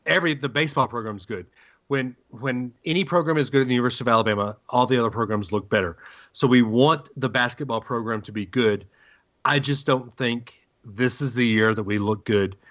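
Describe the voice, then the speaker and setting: A man's voice: mid-range voice, a bit bright. A man hosting a sports podcast with casual banter, moving the conversation along. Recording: dull lo-fi podcast audio.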